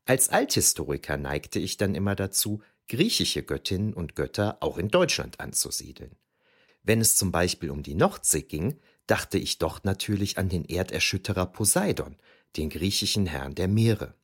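A man's voice: low voice, very animated, normal speed, husky, exaggerated voice. A man playing a reflective ancient historian who narrates memories of youth in a scripted, wryly explanatory way for an audio drama. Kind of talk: scripted first-person narration.

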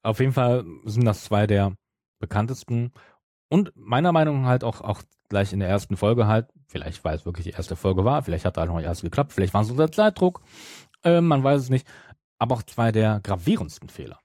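A man's voice: fairly low pitch, lively intonation, a little fast, mellow, no echo, clean studio sound. A man talking casually as the co-host of a podcast. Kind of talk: casual chat.

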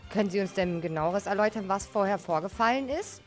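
A woman's voice: mid-range voice, expressive, fast talker, soft background music, natural voice. A woman as a formal, dutiful policewoman. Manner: formal, official wording. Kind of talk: amateur radio play acting.

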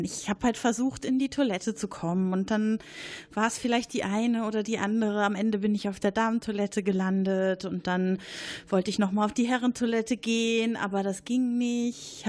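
Woman doing a colonel hiding a secret, halting and evasive, middle-range voice, improv mystery game. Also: medium pitch, lightly acted. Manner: hesitant, evasive, then confiding in hushed tones. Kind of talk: improvised murder-mystery roleplay.

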